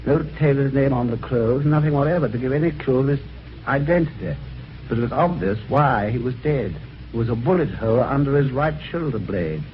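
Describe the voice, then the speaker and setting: A man acting a polite, somewhat dim British husband in a vintage radio mystery. His delivery is very animated, with chatty hesitations and old-fashioned manners. The recording is dull, with a faint layer of noise. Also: mid-range voice, fairly quick, natural voice. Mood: puzzled, reflective. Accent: British English. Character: well-mannered, slightly dim English husband recounting a mystery.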